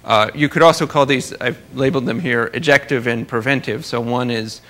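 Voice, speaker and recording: A man giving an academic conference talk as a researcher, halting and rephrasing as he explains his slides. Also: medium pitch, a bit bright. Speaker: astrophysics researcher presenting at a conference.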